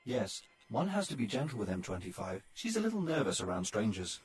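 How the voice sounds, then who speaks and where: A man voicing a polite male android who is prone to fits of laughter, in a hushed, raspy voice. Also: on the low side, big pitch swings, a little fast, faint music behind, pitched below the performer's own voice, full character voice. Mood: matter-of-fact. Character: giggly male android, easily amused.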